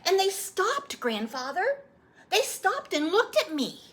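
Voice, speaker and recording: A woman's voice: extremely high pitch, big pitch swings, slow pace, fairly bright tone, pitched above the performer's own voice, exaggerated voice. A woman voicing an earnest, eager young boy who asks pleading, insistent questions in a storybook read-aloud.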